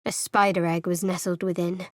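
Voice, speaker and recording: A woman's voice: on the low side, level tone, moderate pace, no room sound, lightly acted. Woman playing a wry, guarded young cleric. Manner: dry, sardonic, clipped. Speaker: game companion. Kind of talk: studio game voice lines. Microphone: close studio mic.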